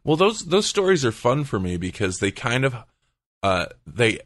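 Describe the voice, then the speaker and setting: A man's voice: medium pitch, big pitch swings, normal speed, a bit bright, dry recording, studio quality. A man hosting a podcast, chatting casually and feeling out his words as he goes. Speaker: podcast host.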